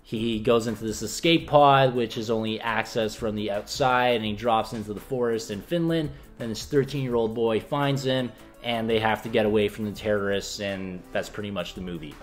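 A man's voice: fairly low pitch, fairly even intonation, normal speed, hardly any pauses, faint music behind. Young man chatting through a movie review. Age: young adult. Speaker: film review co-host. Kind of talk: casual movie review chat. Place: small indoor room with shelves of discs.

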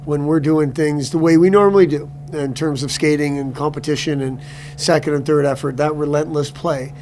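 A middle-aged male hockey coach answers reporters after a game in a steady, measured way. He stumbles and repeats words as he works through his answers. A clear hum runs behind his voice. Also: a little high. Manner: halting, repeats words, many restarts. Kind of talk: postgame press conference. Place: press room before a sponsor backdrop.

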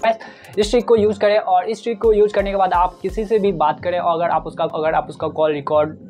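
Young man, Indian accent, mellow tone, step-by-step tutorial host, lapel mic, speaks straight to viewers. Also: sits high, steady tone, a little fast, faint music behind. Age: 20s.

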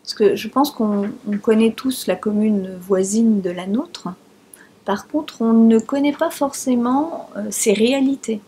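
A middle-aged woman with a husky voice, a local elected official giving interview testimony indoors. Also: fairly high pitch, unhurried, warm tone.

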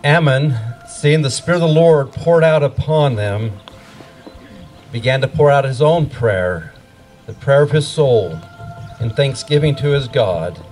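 A middle-aged man narrates a scripture pageant outdoors through a handheld microphone. His voice sits at an ordinary male pitch. Music plays clearly behind him as he reads. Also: a little slow, a little mellow, low-quality recording. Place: outdoor wooded stage. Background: music playing.

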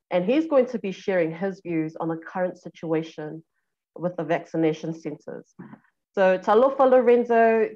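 An upbeat woman hosts a community health talk from her home, speaking through earphones on a video call. Her delivery is brisk and friendly. The audio sounds a little dull. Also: mid-range voice, a little mellow. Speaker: show host. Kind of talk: hosting a health talk show. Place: home room. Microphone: earphones with mic on a video call.

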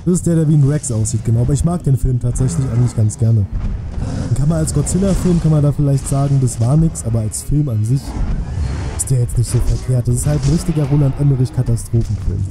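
A man's voice: mid-range voice, fast. A man commentating a game on a live stream, talking colloquially and thinking aloud in a casual way. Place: small indoor home streaming room. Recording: music behind the voice.

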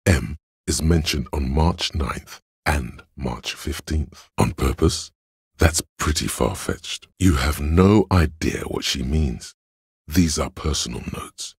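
Very deep, gravelly voice of cold logic. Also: male voice, no room sound, studio quality, exaggerated voice. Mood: dry, reasoning. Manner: measured, deliberate, analytical. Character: cold inner voice of logic in a detective's mind. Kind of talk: video game narration.

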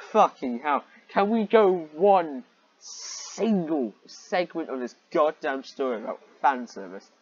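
A man reading fan fiction aloud with sarcastic, exasperated asides, often clearing his throat. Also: on the high side. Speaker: host and reader of fan fiction. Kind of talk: read-aloud of fan fiction with sardonic commentary. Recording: dull, muffled lo-fi microphone.

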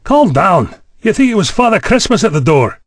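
A man's voice: high voice, big pitch swings, moderate pace, slight background noise. A man acting a glib, self-assured vice chancellor in an audio drama.

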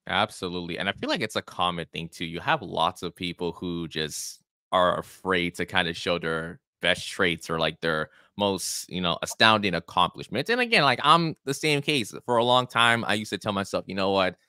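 Deep, crisp-voiced young podcast host. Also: male voice, normal speed, few pauses, pushed and bright, dry recording, clean studio sound. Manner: encouraging, chatty, repeats himself. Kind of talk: casual interview chat. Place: small home studio, indoors. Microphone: studio mic with headphones.

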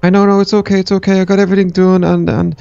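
A man's voice: high voice, level tone, racing, gravelly, darkish tone, smooth, dry recording. A man voicing an anxious, eager little boy in a children's Minecraft roleplay, repeating phrases hurriedly. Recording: dull close recording, music behind.

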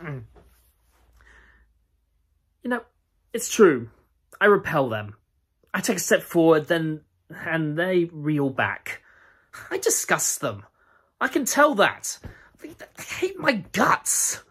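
A young man playing a lonely, self-loathing young man who tells, haltingly, how a date walked out on him; his voice is husky. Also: fairly high pitch, huge pitch swings, fairly bright tone, exaggerated voice. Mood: wry self-pity. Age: young adult. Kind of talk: audition monologue.

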